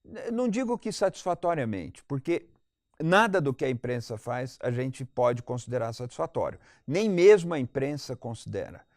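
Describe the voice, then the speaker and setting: A man's voice: mid-range voice, very animated, moderate pace, no echo, studio quality. A Brazilian man giving analytical commentary as a guest in a panel debate, articulate and explanatory, repeating points for emphasis.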